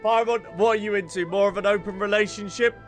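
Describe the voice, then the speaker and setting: A man's voice: high-pitched, quick pace. A man giving playful, teasing let's-play commentary as a gaming streamer. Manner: animated, teasing, breaks into giggles. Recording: faint game music behind.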